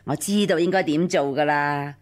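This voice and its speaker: An actress playing a shrewd old matchmaker in a radio drama, knowing, colloquial and ingratiating in manner. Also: female voice, low voice, moderate pace. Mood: ingratiating, sly. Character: shrewd, blunt old matchmaker woman, wheedling and worldly. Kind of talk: Cantonese radio drama acting.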